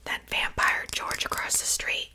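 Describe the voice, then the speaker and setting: A woman voicing a friendly, chatty witch in a gentle, reassuring, unhurried ASMR roleplay. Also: close to an everyday voice. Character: friendly, chatty witch seasoning a captive for stew.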